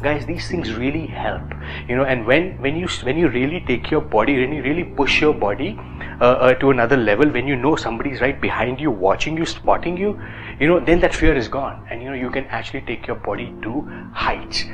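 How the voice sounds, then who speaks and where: A man in his thirties with an Indian accent, talking casually to camera about fitness with lots of fillers and lively gestures. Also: medium pitch, a little fast, over music, low-quality recording. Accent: Indian English. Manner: animated, gestures with both hands, many fillers. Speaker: fitness influencer.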